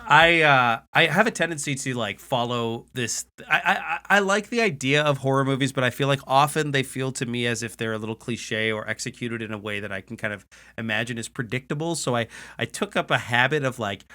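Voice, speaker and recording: A man's voice: medium pitch, a little fast, hardly any pauses, pushed and bright. A man hosting a podcast in casual comedic chat, rambling and repeating himself as he goes. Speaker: podcast host.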